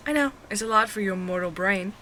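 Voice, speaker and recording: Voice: mid-range voice, brisk, pushed and bright, slight background noise, natural voice. An actor playing a cocky, playful barista with mock-grandiose airs in an audio drama, with café ambience behind. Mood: teasing, smug. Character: cocky, teasing barista claiming to be a god. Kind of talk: audio fiction dialogue.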